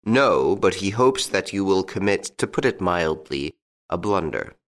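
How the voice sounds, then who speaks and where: A man voicing a calm, shrewd police prefect who gives polite, measured advice in a storybook reading. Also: low-pitched, full character voice. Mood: shrewd, explaining.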